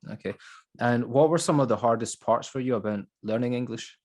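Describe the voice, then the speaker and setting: A man hosts a podcast interview, putting short, plain questions to his guest and nudging him along with brief replies. His voice sits at a middle pitch. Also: fast talker, a little mellow. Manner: brief encouraging backchannels and questions. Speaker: podcast host. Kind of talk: casual interview conversation.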